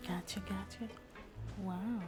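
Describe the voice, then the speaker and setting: A woman's voice: mid-range voice, steady tone, slow pace. A woman streamer chatting casually and ramblingly while a game plays. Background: game music.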